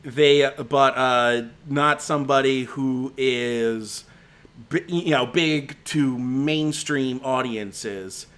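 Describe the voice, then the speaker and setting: A man's voice: medium pitch, very slow, a bit bright, smooth. A man with a strained, fading voice. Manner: rambling, frequent restarts and fillers. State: voice giving out, slightly hoarse. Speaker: movie critic. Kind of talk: casual spoken movie rundown on a stream.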